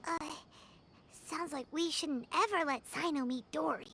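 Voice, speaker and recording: A woman's voice: a little slow, fairly bright tone, a little hiss or hum. A woman voicing a tiny, nosy, childlike female fairy companion in a game, calling out in an exclaiming manner. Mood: puzzled. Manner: high, bouncy, exclaiming.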